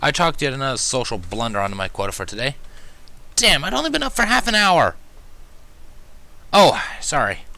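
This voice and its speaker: A man narrating first-person fan fiction in an expressive storytelling style. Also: medium pitch, quick pace, creaky, no room sound.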